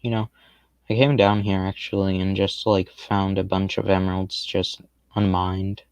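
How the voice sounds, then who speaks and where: A man casually rambling through gameplay commentary on a stream, with a fairly monotone, laid-back and self-deprecating way of talking. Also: sits low, a little slow, clean tone, no echo. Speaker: game streamer.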